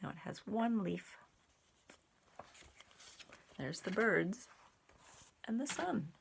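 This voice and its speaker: A woman reading a children's picture book aloud in an expressive, deliberate way for young listeners, with little asides. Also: mid-range voice, creaky, slight background noise. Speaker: storybook reader and sign language teacher.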